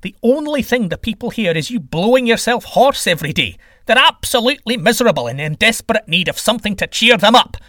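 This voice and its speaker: A bossy, blustering god of the sky, performed by a male storyteller in a comic myth. He scolds impatiently and emphatically, with a high, swooping delivery and a close, dry sound. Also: lively intonation, fast talker, few pauses, pushed and bright, dry recording. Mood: exasperated.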